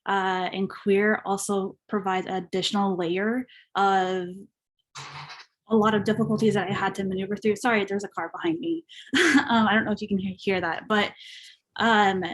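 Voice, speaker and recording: A young adult panelist with a feminine-sounding voice of medium pitch, who grew up with Spanish as a first language. They talk personally and reflectively, pausing to search for the right words. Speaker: panelist, university graduate.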